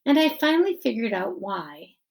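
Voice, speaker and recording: A woman voicing a middle-aged male school principal giving an earnest graduation speech in a children's novel, measured and emphatic with deliberate pauses. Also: a little high, sing-song, gravelly, a little mellow. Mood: earnest, reflective. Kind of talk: children's novel read-aloud.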